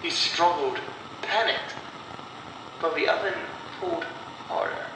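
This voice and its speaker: A man storyteller narrating a scripted horror story in a deliberate way, with dramatic pauses. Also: on the high side. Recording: echoey, distant voice with clear noise.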